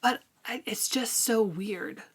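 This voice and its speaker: A woman co-hosting a book discussion podcast, chatting casually and colloquially with animated emphasis. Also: fairly high pitch, takes it slowly, slightly rough. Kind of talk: casual chat about a novel.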